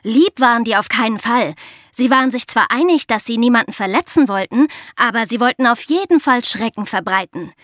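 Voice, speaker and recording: A woman's voice: a little high, animated, fairly quick, a bit bright, no echo, clean studio sound. A woman co-hosting a true-crime podcast, moving between narration and discussion. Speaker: podcast co-host.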